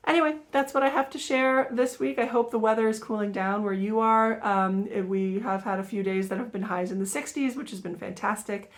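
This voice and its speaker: A woman in her thirties, a little raspy from a cold, chatting casually about her knitting projects with many ums and self-corrections. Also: on the high side, steady tone, a little fast, few pauses. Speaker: guest knitter sharing projects. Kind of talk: casual show-and-tell.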